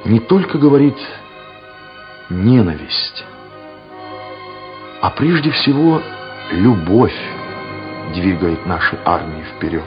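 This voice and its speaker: An actor playing an earnest, reflective Soviet soldier in a radio drama, speaking slowly and with feeling. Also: male voice, deep voice, exaggerated voice. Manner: slow, emotive, reflective delivery. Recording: slightly dull radio recording with music. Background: music.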